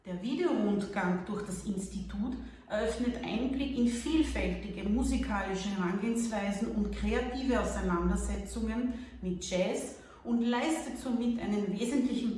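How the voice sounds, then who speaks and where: A woman reading a formal statement in a measured way. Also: medium pitch, mellow, slight background noise. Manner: formal, read aloud. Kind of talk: scripted narration. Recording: echoey room, distant mic.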